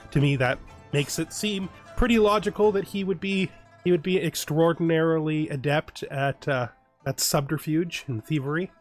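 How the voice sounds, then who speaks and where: A man giving relaxed let's play commentary on a strategy game, thinking aloud and often restarting his sentences. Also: on the high side. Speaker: gaming YouTuber.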